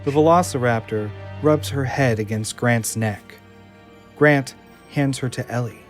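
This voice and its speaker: A man's voice: mid-range voice, measured pace. Male audio drama narrator over music. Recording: music under the voice.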